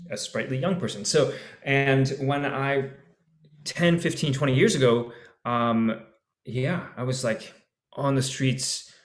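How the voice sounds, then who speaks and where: A man in his forties, an actor on a discussion panel, talking casually and searching for his words with many restarts. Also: medium pitch. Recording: echoey room.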